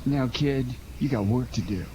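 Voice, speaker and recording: A man's voice: mid-range voice, moderate pace, mellow, lightly acted. A man playing a cocky, streetwise fur thief in a 1940s-style radio crime drama, brusque and slangy. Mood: brisk, bossy. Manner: brusque, slangy, self-assured. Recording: noisy amateur radio drama recording.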